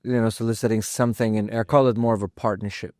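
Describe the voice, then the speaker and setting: A man in his twenties from Afghanistan, a fighter guesting in a studio, speaking calmly and reflectively with frequent pauses and fillers. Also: on the low side, no room sound. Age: 20s. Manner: calm, reflective, halting with many fillers. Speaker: mixed martial arts fighter, guest. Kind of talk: casual interview talk. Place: podcast studio.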